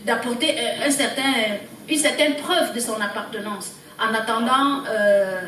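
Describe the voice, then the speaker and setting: A woman presenting a group report to a party meeting, halting and repeating words as she goes. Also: on the high side, pushed and bright. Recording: echoey hall, distant mic, background noise.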